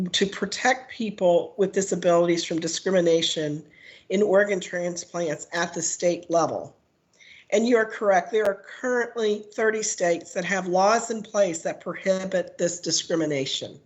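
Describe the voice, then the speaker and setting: Hoarse woman giving testimony. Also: on the low side, rough recording. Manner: measured, read-out delivery with frequent "um". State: hoarse from a cold. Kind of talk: prepared testimony at a legislative hearing. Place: legislative committee room.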